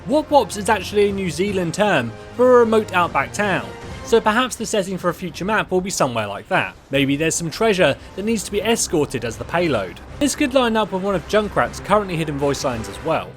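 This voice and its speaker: Male host for scripted video narration: higher-pitched, lively, over light background music. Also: brisk, hardly any pauses.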